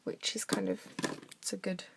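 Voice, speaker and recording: A young woman with a croaky voice from a cough, chatting through a henna craft demonstration at her desk at home. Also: normal speed, noisy background. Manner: chatty, talks while drawing, drops to soft mutters. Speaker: craft tutorial maker.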